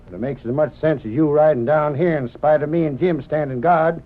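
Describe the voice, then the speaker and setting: An actor playing a suspicious, stubborn deputy with a folksy rural Western American accent in an old-time radio drama. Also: male voice, a little high, brisk, natural voice. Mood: indignant, folksy. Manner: folksy, blustering drawl. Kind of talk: 1930s-40s radio drama acting. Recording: muffled, narrow-band old radio recording.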